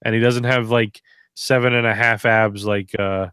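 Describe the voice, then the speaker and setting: A man's voice: on the low side, level tone, moderate pace, a bit bright, smooth, no echo, clean studio sound. A man co-hosting a podcast in casual, sarcastic, rambling banter with plenty of swearing. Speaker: wrestling podcast co-host.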